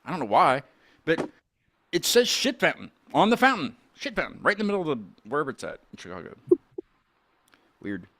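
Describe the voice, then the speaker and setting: Guy hosting a hangout chat, kinda high voice, bouncy, trips over his words a lot. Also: big pitch swings, a little fast, pauses often, bright, forceful tone. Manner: rambling, stammering restarts, laughs often. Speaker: podcast host. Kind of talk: casual group chat with band guests.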